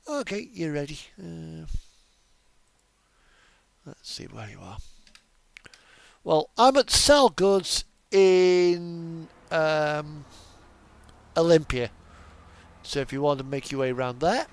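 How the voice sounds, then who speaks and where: A man's voice: a little high, lively intonation, takes it slowly, vocal fry, pauses often, clear, resonant tone. A man chatting casually and offhandedly while playing a truck simulator game. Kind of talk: casual chat during gameplay.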